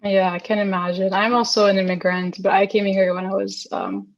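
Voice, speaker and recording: A woman's voice: medium pitch, lively intonation, brisk, gravelly, muffled recording. A young adult woman hosting a podcast interview, earnest in her introductions and casual in her questions. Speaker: podcast host.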